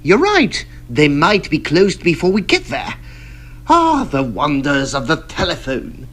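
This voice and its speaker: An actor playing a wry Edwardian gentleman in a period audio drama, with theatrical, formal old-fashioned phrasing. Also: a little high, wildly swooping pitch, a little slow, heavily acted. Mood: pensive. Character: Edwardian gentlemen, detective and office colleague, deliberate, wryly dry. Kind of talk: period radio drama dialogue.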